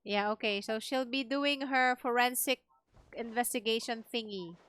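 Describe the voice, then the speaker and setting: A woman's voice: on the high side, moderate pace, a bit bright, smooth, no room sound. A woman with a Filipino accent giving casual live game commentary, reacting in short asides. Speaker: game streamer.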